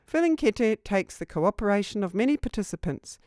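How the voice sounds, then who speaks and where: A woman's voice: medium pitch, lively intonation, brisk, a little mellow, dry recording, clean studio sound. A woman reading a scripted instructional narration for a training video.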